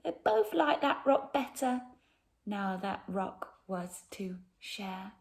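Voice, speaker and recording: Slow, animated woman reading a picture book. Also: medium pitch, gravelly. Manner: dramatic, with pauses and hushed emphasis. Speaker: storyteller. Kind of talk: expressive children's picture book narration.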